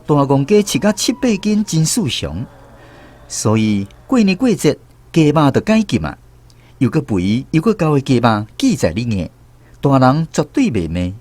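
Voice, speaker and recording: A man's voice: mid-range voice, wildly swooping pitch, moderate pace, darkish tone. A man with a Taiwanese accent narrating a scripted first-person story as a radio drama storyteller.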